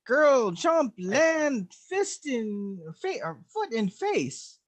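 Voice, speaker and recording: Woman reading out comic panels super slow and choppy, voice jumps around a lot. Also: high voice, very animated. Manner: halting, jokey broken-English panel descriptions. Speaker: panel describer. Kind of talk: comedic dramatic reading.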